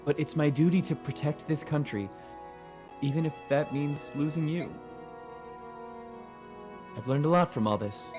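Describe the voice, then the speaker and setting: A man voicing a young queen in game dialogue, gentle yet resolute, speaking formally and earnestly. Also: on the high side, a little slow, pauses often, music in the background, lightly acted. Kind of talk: game dialogue read aloud in a fan dub.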